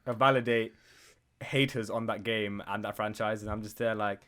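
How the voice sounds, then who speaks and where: A man's voice: lowish voice, fairly even intonation, moderate pace, pushed and bright. A man co-hosting a podcast, chatting informally and often breaking off mid-thought. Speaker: podcast co-host. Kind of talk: casual podcast chat.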